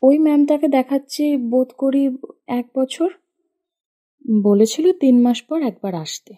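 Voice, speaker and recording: Casting: actress as timid female patient, slow, hesitant, soft and very mellow, higher-pitched, audio drama. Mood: nervous, hesitant. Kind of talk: audio story dramatic acting.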